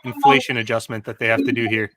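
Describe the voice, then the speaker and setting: A man's voice: medium pitch, level tone, fast talker, fairly bright tone, no echo. A man co-hosting a casual panel discussion, talking conversationally. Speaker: podcast co-host. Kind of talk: casual chat.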